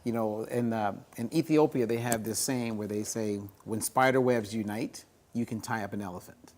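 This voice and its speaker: A man co-hosting a talk show, chatting in a relaxed, playful way. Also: fairly low pitch, normal speed, a little hiss or hum. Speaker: co-host. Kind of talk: casual talk show chat.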